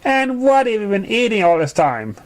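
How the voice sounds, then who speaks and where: Loyal young filly, voiced by a man, high-pitched and concerned, asking questions in a storybook tale. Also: very animated, normal speed. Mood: shocked.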